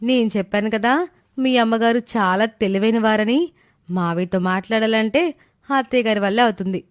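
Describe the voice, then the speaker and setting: A woman's voice: on the high side, normal speed, no room sound, studio quality, natural voice. A woman voicing a timid, respectful young wife in a moral story, anxious and coaxing in manner. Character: timid, dutiful young wife and daughter-in-law. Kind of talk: animated moral story dialogue.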